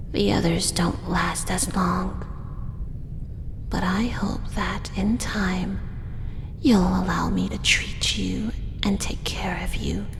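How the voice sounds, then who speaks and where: A woman's voice: medium pitch, vocal fry, long reverb tail, slight background noise. A woman whispering as a possessive spider-woman. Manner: soft, slow, whispered, doting yet possessive. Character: possessive female drider, spider-woman monster. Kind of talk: ASMR audio roleplay.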